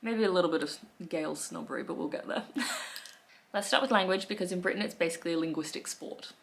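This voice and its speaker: Chatty Australian woman, lowish voice. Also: a little fast, gravelly. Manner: chatty and wry, with frequent soft laughs and fillers. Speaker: lifestyle vlogger. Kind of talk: casual talk to camera. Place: living room at home.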